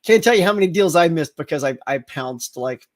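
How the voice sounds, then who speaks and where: A middle-aged man hosts a relaxed live morning chat, his voice sitting a touch high. He sounds a little congested and rambles along, restarting sentences and tossing in plenty of fillers. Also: fairly quick. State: slightly congested. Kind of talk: casual live-stream chat with viewers.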